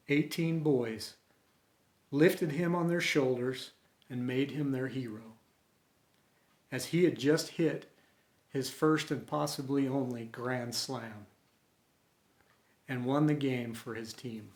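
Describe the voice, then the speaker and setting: A man reading a story aloud as host, with expressive, dramatic delivery. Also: mid-range voice, slow, long pauses. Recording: roomy, echoey home recording.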